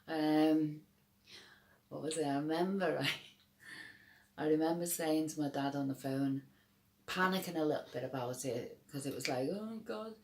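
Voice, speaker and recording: A woman's voice: deep voice, measured pace, pauses often, roomy sound. A middle-aged woman with a Scouse accent, telling her personal story to camera in an emphatic, rambling way with many restarts. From Liverpool, England.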